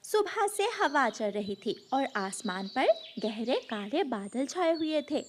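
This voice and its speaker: A high-voiced woman narrates a children's story in a scripted storytelling style. Her pitch moves in lively swings, and there is faint noise behind her. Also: slow.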